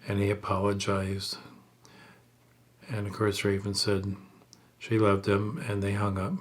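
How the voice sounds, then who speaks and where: A man telling a story aloud in a halting, measured way, unpolished and in short phrases. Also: on the low side, monotone.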